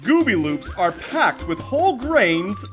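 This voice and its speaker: A performer voicing a peppy, exaggerated cereal pitch character in a parody children's commercial. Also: sing-song, unhurried, over music. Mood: peppy, salesy. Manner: upbeat, exaggerated salesy delivery.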